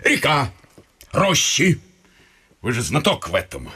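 A blunt, meddling old family friend in a stage-style radio comedy. His husky voice drawls slowly and leaps widely in pitch, sinking into muttered asides, with soft music underneath. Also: huge pitch swings, heavily acted. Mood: chatty, probing. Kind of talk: Russian radio play of a vaudeville comedy.

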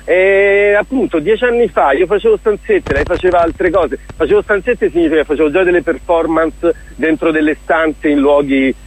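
A man's voice: a little high, normal speed, hardly any pauses, low-quality recording. A man speaking as an enthusiastic, effusive guest in a casual radio interview, talking as a theatre director. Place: radio broadcast.

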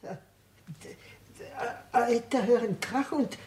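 Halting, high-voiced old servant. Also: male voice, a little mellow, a little hiss or hum. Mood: nervous, submissive. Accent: foreign, broken German. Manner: halting, stammering broken speech. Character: timid old Filipino house servant, male, simple and fearful. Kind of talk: radio crime drama acting.

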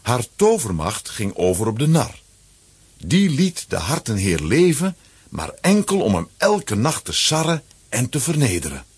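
A man with a husky, rough voice reading scripted narration for a children's story. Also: mid-range voice, wildly swooping pitch, a little slow, dry recording.

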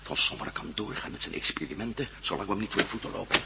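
A man's voice: on the low side, expressive, very fast, gravelly. A man playing a wry, brooding adventurer held in prison, in a conversational radio drama style. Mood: worried. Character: jailed American adventurer, wry and worried, defiant. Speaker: actor in a radio play. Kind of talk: Dutch radio drama acting. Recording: radio play with faint hum.

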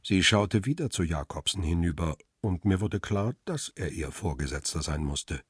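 An actor playing a wry, stubborn photographer who narrates and speaks in a crime radio drama, with a dry, confrontational manner and a husky, rough voice. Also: male voice, low-pitched, expressive, moderate pace, no echo, heavily acted. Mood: sardonic, pressing.

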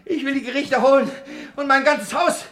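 A miserly old master in a radio play, with a very high, bright, forceful voice. He stammers, wails and rants in frantic despair, as if all the world had robbed him. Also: normal speed. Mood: frantic, raging. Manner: stammering, wailing, ranting. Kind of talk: classic German radio play acting.

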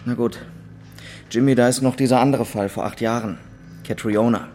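An actor playing a special investigator from the mainland in a radio drama, businesslike and pressing. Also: male voice, medium pitch, fairly even intonation, moderate pace, a little mellow, a little hiss or hum, natural voice. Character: brisk, insistent male special investigator from the mainland. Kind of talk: naturalistic German radio drama dialogue.

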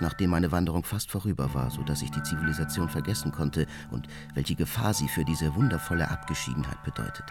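A solitary hermit recites his nature diary for a radio drama. His voice is extremely deep, and his delivery is measured and contemplative. Prominent music plays under the words. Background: prominent music with mallet percussion.

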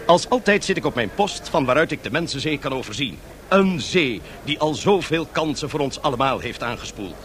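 An actor playing an excitable, boosterish 1920s American stock-exchange radio reporter in a Dutch radio drama, theatrically addressing his listeners like a salesman. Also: fairly high pitch, slight background noise. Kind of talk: 1970s Dutch radio drama, live broadcast commentary.

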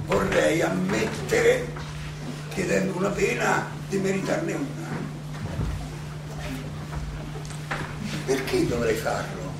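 An actor playing an aging Athenian philosopher who defends himself in a theatrical monologue, ironic and full of rhetorical questions and halting repetitions. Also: male voice, on the high side, creaky, full character voice. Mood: reflective, questioning. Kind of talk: theatrical stage monologue, oratory. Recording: roomy, distant, noisy live stage recording.

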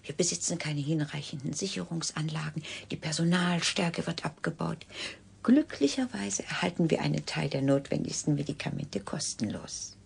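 A woman's voice: deep voice, normal speed, gravelly, slightly rough, full character voice. A woman playing a cool, sardonic clinic doctor in a German radio drama, speaking in a clipped, dry, condescending way. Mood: sardonic, brisk.

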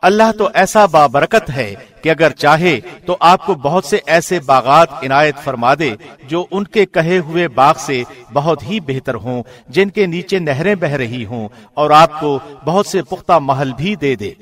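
A man reading a scripture translation as a scripted narrator, in a formal, measured radio presenter's manner. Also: a little high, expressive, a bit bright.

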